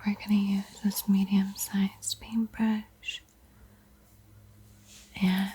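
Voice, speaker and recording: A woman whispering softly and breathily in a soothing, playful ASMR roleplay as she guides a painting tutorial. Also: medium pitch, flat delivery, slightly rough. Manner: slow, soothing, playfully teasing. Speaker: art tutorial host.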